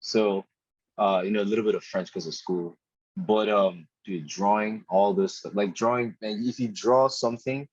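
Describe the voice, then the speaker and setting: A young man speaks in a fairly low, slightly husky voice as a podcast guest. He talks in a loose, chatty way, restarting phrases and dropping "dude" here and there. The recording is dry and a little muffled. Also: normal speed, no room sound. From Nigeria. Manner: relaxed and chatty, says "dude" and "man" a lot, frequent restarts. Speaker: podcast guest, product designer. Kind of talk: casual conversational interview.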